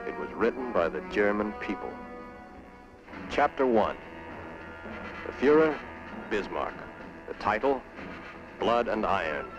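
Low-voiced American man, stern 1940s training film narration, clipped phrases, dramatic pauses, muffled old soundtrack, music underneath. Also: very animated.

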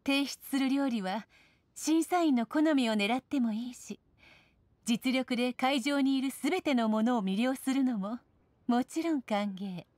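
Elegant, unhurried noblewoman. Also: high-pitched, a little mellow, dry recording. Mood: poised, gracious. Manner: formal, composed. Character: elegant, poised, authoritative noblewoman serving as judge. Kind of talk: Japanese anime game voice acting.

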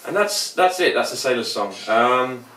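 A man in his forties, a bit hoarse, giving a casual, rambling guitar tutorial at home with many restarts. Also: mid-range voice, animated, moderate pace, sharp tone. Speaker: guitar teacher. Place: small cluttered room at home. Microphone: camera mic from a few feet away. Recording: echoey room, distant camera mic, guitar playing. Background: acoustic guitar playing.

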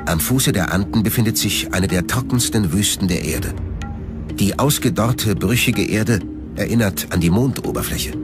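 A man narrating a scripted documentary in a measured, factual manner, recorded as a studio voice-over. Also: on the low side. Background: background music.

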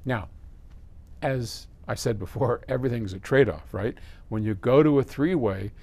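A man's voice: mid-range voice, moderate pace, a little mellow. An American man in his seventies casually explaining to camera, conversationally answering a question with simple examples and asides. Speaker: audio company presenter answering viewer questions. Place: small indoor electronics workshop.

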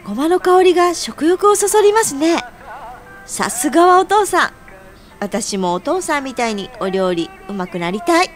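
An actress plays a cheerful young woman in a radio play, pitched high and swinging widely. She is affectionate and exclaims playfully, polite to her elders. Music plays clearly behind her. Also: very animated, normal speed. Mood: delighted, admiring. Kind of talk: radio sound-drama dialogue.

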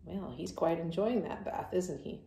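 A woman's voice: fairly low pitch, normal speed, vocal fry, reverberant, background noise. A middle-aged woman reading a picture book aloud to young children, chatting and asking them questions as she goes. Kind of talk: children's read-aloud with asides to young listeners. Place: indoor room with toys.

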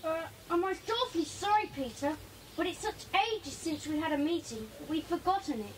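A woman's voice: very high-pitched, lively intonation, a little fast. A woman playing a polite young schoolchild in a children's audio drama. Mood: polite, questioning. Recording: faintly noisy 1980s cassette.

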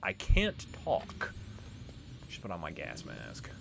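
A man's voice: low-pitched, huge pitch swings, slow pace, vocal fry, music in the background. A man giving casual, informal let's play commentary as the host of a gaming video.

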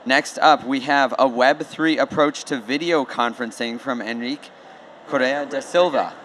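A man's voice: medium pitch, normal speed, a bit bright, slight background noise. A man emceeing at a conference stage, thanking speakers and introducing the next talk with upbeat energy. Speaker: event emcee. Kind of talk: stage announcing.

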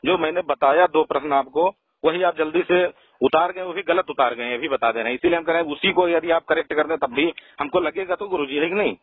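A man's voice: fairly high pitch, brisk, slightly rough, no room sound. A man on a phone call, deferential but insistent and repetitive. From Lucknow, India. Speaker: caller. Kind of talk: phone conversation. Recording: tinny narrowband phone call recording.

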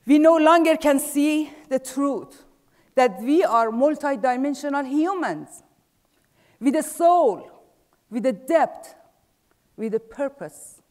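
Middle-aged Persian-accented woman, high voice, slow and measured stage delivery, reverberant hall. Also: lively intonation. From Iran. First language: Farsi. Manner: measured, trailing off softly at phrase ends. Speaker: speaker. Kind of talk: prepared stage talk. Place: large dark theater stage. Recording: theater with long reverb.

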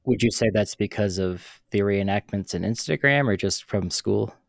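A young man hosting a podcast from a small dark room on a studio mic, chatty and given to telling his own stories while asking questions. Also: on the low side, moderate pace, gravelly, no echo. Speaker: podcast host. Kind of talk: casual interview chat. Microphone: studio mic on arm, headphones.

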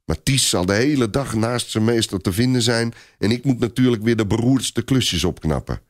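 A man's voice: medium pitch, expressive, normal speed, natural voice. Man voicing a sulky, battle-eager little boy. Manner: sulky, grumbling.

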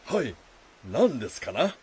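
An actor playing a kindly, composed travelling Buddhist monk in a folktale radio drama, speaking politely and formally. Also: male voice, medium pitch, sing-song. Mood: serene. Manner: polite, deliberate, formal speech. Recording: faint background noise.

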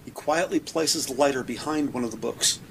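A man's voice: mid-range voice, fairly quick, vocal fry, a bit bright. A man narrating an audio drama, reading scripted scene description in the present tense. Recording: noisy, sound effects behind voice.

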